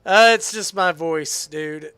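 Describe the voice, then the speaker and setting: A hoarse, sick young man chatting casually while livestreaming a game, laughing and answering chat. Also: fairly high pitch, slow, sharp tone, no echo. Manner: chatty, laughs often, reads chat aloud. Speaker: streamer. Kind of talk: casual gaming livestream commentary.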